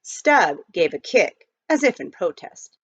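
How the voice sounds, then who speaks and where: A woman reading a children's novel aloud in an expressive storytelling style. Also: mid-range voice, measured pace, husky. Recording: dull lo-fi home recording.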